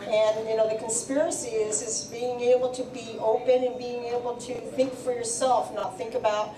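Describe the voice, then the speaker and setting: A woman's voice: on the high side, flat delivery, moderate pace. Chatty woman in an echoey, crowded venue. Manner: chatty, hesitant, many fillers. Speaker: psychic medium and radio guest. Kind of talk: speaking live to an audience. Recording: echoey venue, distant mic, crowd behind.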